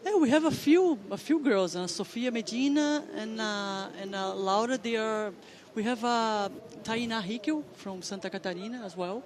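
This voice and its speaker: High-voiced Brazilian woman, halting commentary. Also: a crowd in the background. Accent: Brazilian Portuguese. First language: Portuguese. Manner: halting, many fillers and restarts. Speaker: guest commentator, former pro surfer. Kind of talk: live sports commentary.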